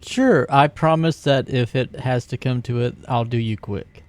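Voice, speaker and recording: A man's voice: mid-range voice, unhurried, darkish tone, no room sound, faint music behind. Man player with a dry, close sound. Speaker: tabletop game player. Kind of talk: casual in-game chat.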